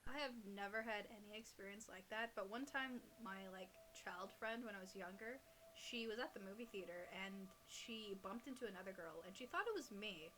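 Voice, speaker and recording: A woman's voice: mid-range voice, brisk, fairly bright tone, slight background noise, rough recording. A young woman co-hosting a casual show, reading creepy stories aloud and breaking in with joking asides. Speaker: co-host reading listener stories.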